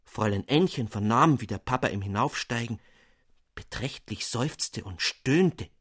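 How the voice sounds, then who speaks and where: Mann, märchenerzähler, rundfunklesung, ausdrucksvoll, belegt, trocken aufgenommen. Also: male voice, medium pitch, a little slow, husky, no room sound, studio quality. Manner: expressive storytelling. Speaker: narrator. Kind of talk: radio reading of a fairy tale.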